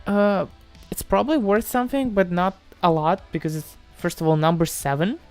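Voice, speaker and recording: A man's voice: high voice, normal speed, soft background music. A man from Moldova chatting casually on a livestream as he answers viewers, hesitant, often repeating himself and trailing off. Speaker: live streamer answering chat questions.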